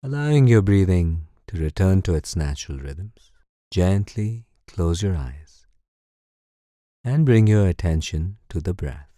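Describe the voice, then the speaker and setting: A man leading a guided meditation in a calm, soothing, measured way. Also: sits low, dry recording, studio quality. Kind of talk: slow guided meditation narration.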